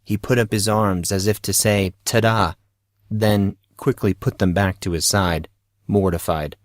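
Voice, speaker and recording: A man narrates a fiction audiobook in a low voice. The recording is close and dry, with the delivery of scripted storytelling. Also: flat delivery, normal speed, no echo.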